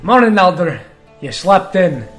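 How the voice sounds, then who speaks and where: An actor playing a devout, hot-headed but warm priest-warrior with an in a fantasy audio drama. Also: male voice, sits high, big pitch swings, measured pace, exaggerated voice. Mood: teasing, jovial. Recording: music behind the voice.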